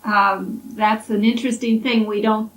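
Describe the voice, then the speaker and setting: A woman interviewing an older woman, soft-spoken and encouraging, often murmuring agreement. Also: mid-range voice, flat delivery, moderate pace. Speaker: oral history interviewer. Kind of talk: interview questions. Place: college library. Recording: echoey library room from a distance.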